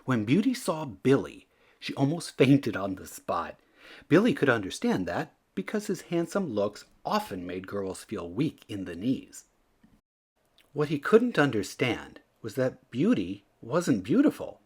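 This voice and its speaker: A man narrating a children's storybook aloud in an expressive, theatrical way, leaning into the emphatic words. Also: medium pitch, measured pace, breathy edge. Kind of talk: animated read-aloud narration.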